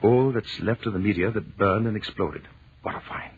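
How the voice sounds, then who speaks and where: An actor playing a brusque, excitable professor in 1930s radio style, with impatient, declamatory delivery. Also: male voice, lowish voice, normal speed, close to an everyday voice. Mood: absorbed. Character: brusque, excitable male professor, scornful of superstition. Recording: dull, noisy old 1930s radio recording.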